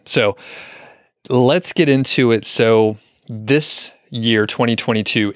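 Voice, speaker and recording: Guy hosting a podcast, kinda low voice, relaxed questions, dry close mic. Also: smooth, no room sound. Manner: easygoing, short affirmations. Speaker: podcast host. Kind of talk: casual interview.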